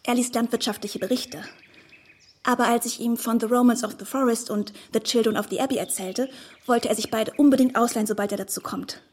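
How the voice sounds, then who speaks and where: A woman's voice: a little high, fairly even intonation, a little fast, a little mellow, natural voice. An actress playing a naive, eager and deferential young woman in a radio play, artless and hesitant in her speech. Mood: hesitant, earnest.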